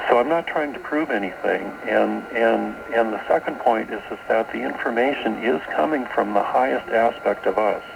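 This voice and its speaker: Gravelly man on noisy shortwave radio. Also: mid-range voice, moderate pace, few pauses. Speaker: guest author. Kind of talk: radio interview, explaining esoteric beliefs. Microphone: radio broadcast, taped off air.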